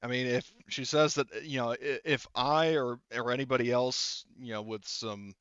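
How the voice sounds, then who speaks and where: Man, game master, smooth clear voice, improvised narration, halting and rambling, dry slightly dull microphone. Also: medium pitch, fairly even intonation, fairly bright tone, dry recording. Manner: halting, filler-heavy, rambling explanations.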